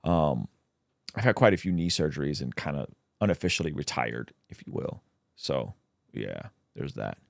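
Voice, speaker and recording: A man's voice: extremely low pitch, big pitch swings, normal speed, creaky, no room sound, studio quality. A man, a native Russian speaker, hosting a casual livestream where he reads out chat questions and answers them with plenty of ums.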